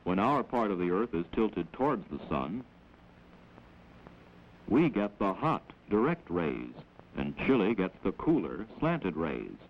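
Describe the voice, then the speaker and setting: A man with an American accent gives measured, instructive scripted narration in the manner of a 1940s educational film, on an old film soundtrack. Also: deep voice, sing-song. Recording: dull, noisy old 16mm film soundtrack.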